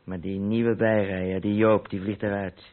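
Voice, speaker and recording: A man's voice: fairly low pitch, little pitch movement, moderate pace, natural voice. An actor with a Netherlands Dutch accent playing a haulage company boss who thinks aloud alone in his office, in a radio play. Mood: weary, grumbling. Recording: muffled, noisy old radio play recording.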